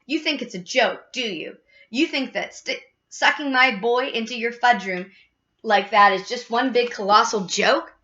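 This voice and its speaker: A woman acting a shrill, frantic, indignant mother. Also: animated, a little fast, hardly any pauses, pushed and bright, dull audio, heavily acted. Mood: outraged.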